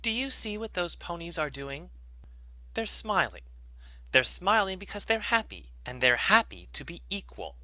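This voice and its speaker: A menacing mare who runs a cult, performed by a story reader in a very sharp, forceful voice. The pitch leaps about as each insinuating question lands. The sound is close and dry, like a storybook villain lecturing a captive. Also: very animated, moderate pace, no echo. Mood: falsely cheerful menace. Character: menacing, sweetly controlling cult-leader mare. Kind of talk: dramatic fan fiction reading.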